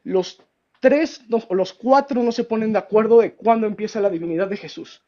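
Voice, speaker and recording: A man's voice: high voice, brisk, mellow, dull audio. A man with a Mexican accent debating as a self-described historian, often repeating himself and talking down with "my friend". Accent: Mexican Spanish. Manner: interrupts, repeats words, patronizing "mi estimado". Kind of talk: heated debate on a call.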